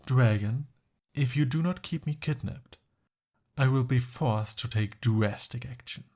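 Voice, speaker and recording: A man playing a smooth-talking, eager young captive prince in an ASMR roleplay, with formal, courtly and hesitant phrasing. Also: mid-range voice, measured pace, darkish tone, natural voice. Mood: defiant bluffing.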